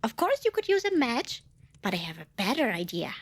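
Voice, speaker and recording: A woman's voice: fairly high pitch, huge pitch swings, brisk, slightly rough, exaggerated voice. A woman playing a cute, eager and nervous little female demon in an ASMR roleplay, bubbly and over-polite with hesitant stammers. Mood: eager salesmanship. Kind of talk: ASMR roleplay acting addressed to listener.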